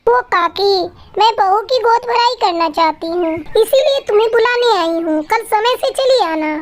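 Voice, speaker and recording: A woman's voice: very high-pitched, animated, unhurried, slight background noise, voice pushed up. A woman voicing a doting mother-in-law bird in a cartoon, motherly and insistent. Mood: eager, friendly. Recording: faint music behind.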